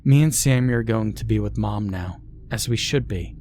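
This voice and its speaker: A man's voice: fairly low pitch, normal speed, darkish tone, a little hiss or hum, close to an everyday voice. A man voicing a possessed daughter who mutters cold accusations in a near whisper. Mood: cold accusing.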